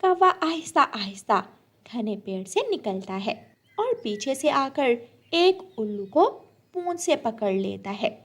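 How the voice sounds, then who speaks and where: A woman's voice: fairly high pitch, sing-song, a little slow. A woman storyteller reading scripted narration for a children's cartoon tale.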